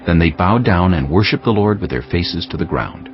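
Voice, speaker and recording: Deep-voiced male scripture narrator over music. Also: animated, normal speed. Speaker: audiobook narrator. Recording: dull lo-fi audio under prominent music.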